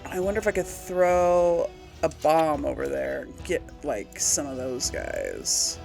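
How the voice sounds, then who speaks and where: Woman let's play host, chatty, animated pitch, slow-paced, folksy, slight throat tickle. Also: vocal fry, music in the background. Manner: chatty, thinking aloud, folksy. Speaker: gaming video host. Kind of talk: casual let's play commentary.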